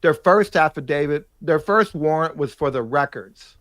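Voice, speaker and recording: Higher-voiced man, dry close sound. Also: normal speed, fairly bright tone, no room sound. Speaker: legal panelist. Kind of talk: unscripted legal analysis in a panel discussion.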